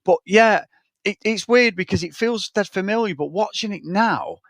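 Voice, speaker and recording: A man's voice: high-pitched, normal speed, fairly bright tone, no room sound. A man, co-hosting a podcast and chatting casually about a film in a frank, rambling way. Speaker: podcast co-host. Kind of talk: casual film review chat.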